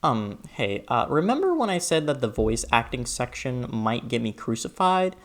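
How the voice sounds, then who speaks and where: A person delivering a scripted, opinionated video essay in an expressive, emphatic way with conversational asides. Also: medium pitch, normal speed. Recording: music behind the voice. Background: background music.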